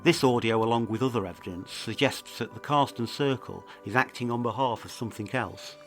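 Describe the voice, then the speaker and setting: A man's voice: medium pitch, fairly quick. A man presenting a scripted investigative mystery podcast, narrating in a serious, ominous manner. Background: faint music.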